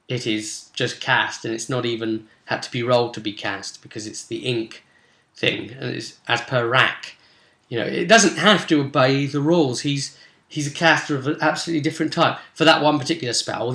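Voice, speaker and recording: Bright-voiced man in an echoey room. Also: medium pitch. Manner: rambling, explanatory, conversational. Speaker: game master recapping tabletop role-play sessions. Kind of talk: casual spoken recap and commentary. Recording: roomy, echoey sound.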